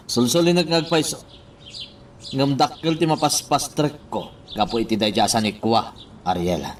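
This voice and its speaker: A man's voice: mid-range voice, fairly even intonation, a little slow, long pauses, natural voice. A man playing a persuasive character striking a bargain in an Ilocano radio drama. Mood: persuading. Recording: distant mic, faint background noise.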